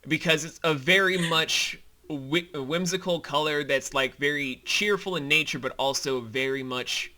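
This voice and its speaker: Bright, forceful man chatting about his creations. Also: a little high, moderate pace, few pauses. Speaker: show creator co-hosting a commentary. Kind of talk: casual behind-the-scenes commentary chat.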